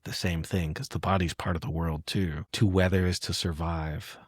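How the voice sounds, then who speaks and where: A man with a low voice hosts a casual literary discussion. He thinks aloud in a reflective, halting way, and the recording is very dry with hardly any room sound. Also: fairly even intonation, slightly rough, no room sound. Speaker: podcast host.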